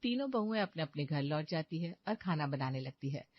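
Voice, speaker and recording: A woman's voice: deep voice, expressive, a little fast, no room sound, dull audio. A woman reading scripted narration for a story.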